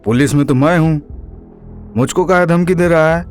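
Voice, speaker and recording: A man's voice: on the high side, normal speed, a little mellow, smooth, faint music behind, natural voice. A man voicing a comic, evasive police inspector, grumbling and hesitant, in an audio drama. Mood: cornered, defensive. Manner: hesitant, grumbling, stammering excuses. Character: shifty, cornered rustic male police inspector, comically evasive.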